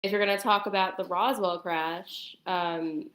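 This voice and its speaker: Casting: woman, lower register, halting conversational explainer, echoey room sound. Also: fairly even intonation, a bit bright. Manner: hesitant, halting delivery. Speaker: guest on a talk stream. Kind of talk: casual explanatory chat. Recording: roomy, echoing sound.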